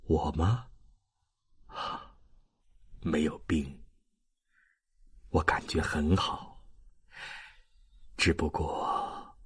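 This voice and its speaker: A man voicing a dying old man in an audiobook, weak, breathy and halting, tender and resigned. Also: very deep voice, steady tone, pitched below the performer's own voice, full character voice. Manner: slow, halting. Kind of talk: dramatized audiobook reading.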